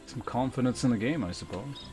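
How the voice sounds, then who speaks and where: A man's voice: mid-range voice, brisk, over music. A man doing casual gameplay commentary as a streamer, muttering offhandedly to himself and his chat. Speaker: game streamer.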